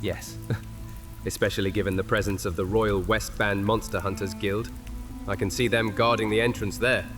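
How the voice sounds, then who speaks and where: A man's voice: fairly low pitch, fairly even intonation, normal speed, over music, close to an everyday voice. An actor playing a sly, teasing, protective male vampire acolyte in an audio drama, with a playful, mocking manner. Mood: amused.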